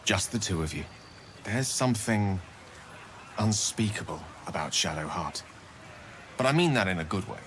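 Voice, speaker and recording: A man's voice: low voice, expressive, normal speed, long pauses, slight background noise. A man voicing a courteous, bookish wizard in a fantasy game, musing in a wry, florid way. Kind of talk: video game dramatic acting.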